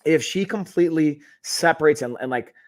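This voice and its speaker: A man's voice: a little high, moderate pace. A man hosting a podcast, thinking aloud in a rambling, thoughtful way, full of fillers and restarts. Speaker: podcast host. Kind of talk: casual podcast conversation.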